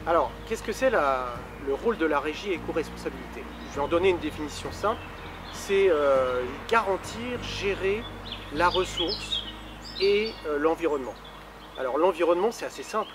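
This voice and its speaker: Older man, higher-pitched voice over music. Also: lively intonation, normal speed. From Paris, France. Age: 60s. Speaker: volunteer event organiser. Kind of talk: informal interview testimonial.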